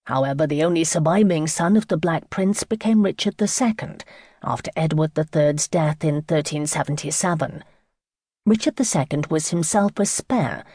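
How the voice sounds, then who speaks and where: A woman reading a scripted history audiobook in a measured, formal storytelling manner. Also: low voice, a little mellow, dry recording, studio quality. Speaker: audiobook narrator.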